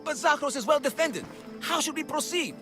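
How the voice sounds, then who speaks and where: A man's voice: very high-pitched, lively intonation, a little fast. A man playing an anxious, devoted father and bumbling would-be hero in a video game, with an earnest, theatrical manner. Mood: boastful, brave. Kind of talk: video game cutscene acting. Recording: game music behind the voice.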